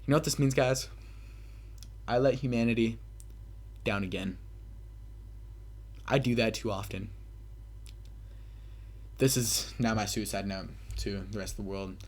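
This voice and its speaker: A man's voice: fairly low pitch, steady tone, unhurried, long pauses. A young man telling a casual, rambling story as a gaming commentator, swearing freely with self-mocking bravado. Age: young adult. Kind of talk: casual rambling party storytelling over gameplay.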